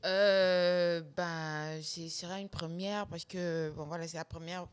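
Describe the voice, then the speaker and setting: This is a young woman from Gabon, worn out from a sleepless night as a new mother. Her voice sits a little low. She pauses on fillers and laughs between phrases as she tells her story. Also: steady tone, smooth. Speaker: singer guest. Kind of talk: casual interview talk.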